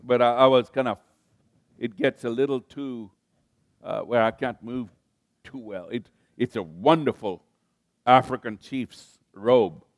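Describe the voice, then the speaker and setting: An older man from Kenya delivers a sermon at an unhurried, deliberate pace. He restarts phrases and calls on the congregation to join in and respond. The sound is close and dry, with little room echo. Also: medium pitch. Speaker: pastor.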